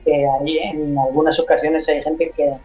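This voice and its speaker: A man's voice: fairly high pitch, flat delivery, moderate pace, dark tone, reverberant, soft background music. Man from Spain with a Mexican-tinged accent. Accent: Spanish with Mexican influence. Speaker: radio co-host. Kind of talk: radio discussion. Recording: muffled lo-fi recording.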